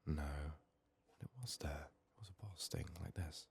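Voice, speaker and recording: A soft-spoken man giving unhurried ASMR game commentary, musing to himself and sometimes slipping into a whisper. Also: very deep voice, vocal fry, slight background noise. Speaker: gaming streamer, ASMR host.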